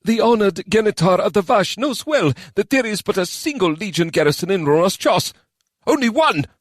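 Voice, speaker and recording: A man's voice: very high voice, very animated, fairly quick, a bit bright, no echo, studio quality, voice pushed up, full character voice. A man reading the part of an anxious male elven minister with a quivering, vehement voice.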